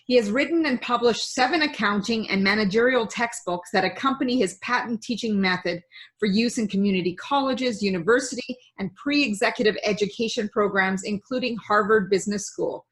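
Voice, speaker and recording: A woman's voice: medium pitch, a little fast, fairly bright tone. A woman hosting an interview show, upbeat and enthusiastic as she reads her guest's introduction. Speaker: show host.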